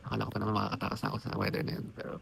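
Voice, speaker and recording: A man's voice: lowish voice, monotone, rapid-fire, vocal fry, background noise. A man with a Filipino accent chatting casually as a travel vlogger, switching between two languages. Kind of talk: casual vlog chat mixing Tagalog and English.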